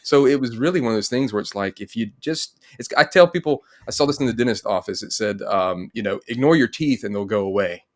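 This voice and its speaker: A man's voice: on the low side, a little fast, few pauses. A middle-aged man, hosting a casual interview. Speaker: host. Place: indoor home room, on a video call.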